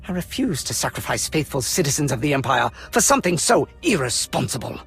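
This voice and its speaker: A man's voice: medium pitch, big pitch swings, brisk, fairly bright tone, breathy edge, faint music behind, full character voice. An actor playing a proud, idealistic Imperial colonel in a video game, speaking formally and earnestly in his own defence. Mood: impassioned, defensive. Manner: formal, earnest, impassioned speechmaking. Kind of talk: dramatic video game acting.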